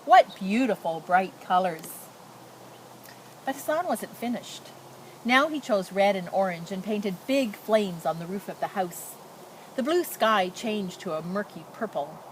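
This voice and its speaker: A woman reading a children's picture book aloud as a storyteller in expressive narration. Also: mid-range voice, measured pace, low-quality recording. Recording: noticeable background noise.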